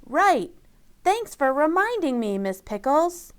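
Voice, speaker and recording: A woman's voice: high-pitched, sing-song, slow, pitched above the performer's own voice, full character voice. A woman voicing an eager, earnest young girl in a children's story, with a childlike manner. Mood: apologetic, bright.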